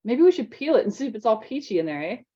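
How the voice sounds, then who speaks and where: A woman's voice: fairly high pitch, sing-song, quick pace, warm tone, dull audio, voice pushed up. A woman voicing an upbeat, teasing boy student. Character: eager joking boy student.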